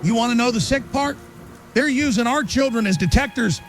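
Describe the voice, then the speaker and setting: An actor playing an agitated, paranoid conspiracy broadcaster, delivering an urgent, insistent monologue full of rhetorical emphasis in an audio drama. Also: male voice, very high-pitched, fairly quick, poor audio. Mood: ominous, insistent. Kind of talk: ranting conspiracy talk show monologue. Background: music.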